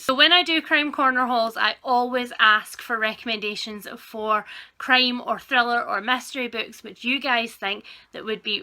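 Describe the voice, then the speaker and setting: A young woman with a congested, croaky voice from sinus trouble, chatting enthusiastically through a book haul video. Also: fairly high pitch, sharp tone. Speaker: book vlogger.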